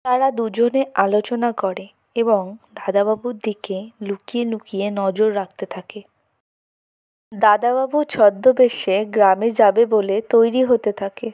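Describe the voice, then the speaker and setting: Dry-sounding woman story narrator, fairly high. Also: darkish tone, no echo.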